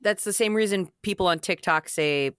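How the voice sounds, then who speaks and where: Woman chatting, slightly low, dry sound. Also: moderate pace, fairly bright tone, clean tone, no echo. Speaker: podcast panelist. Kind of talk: casual chat.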